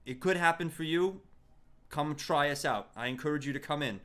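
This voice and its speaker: A man speaks in a put-on Greek accent, pitching dishes like an earnest restaurant owner. His voice sits a little high for a man. The delivery is improvised comedy patter. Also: moderate pace, fairly bright tone. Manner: puts on a slight Greek-tinged work accent, earnest salesman patter.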